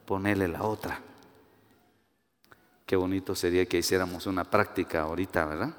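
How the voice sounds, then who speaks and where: A man's voice: deep voice, normal speed, long reverb tail. A man from Guatemala with a Guatemalan Spanish accent, preaching a sermon with personal stories and acting out the voices he quotes. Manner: animated, rises to emphatic peaks, acts out quoted voices.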